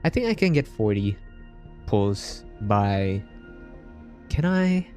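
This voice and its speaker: A man's voice: fairly low pitch, big pitch swings, takes it slowly, a little mellow. A man from the Philippines livestreaming a game, chatting casually with his viewers. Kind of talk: casual chat with live viewers while gaming. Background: game music.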